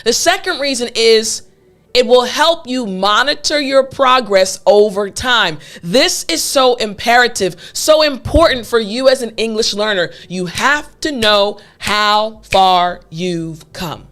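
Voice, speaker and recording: A woman's voice: fairly high pitch, expressive, slow pace, fairly bright tone. A young woman teaching English, emphatic and encouraging, repeating her key points. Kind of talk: scripted lesson to camera.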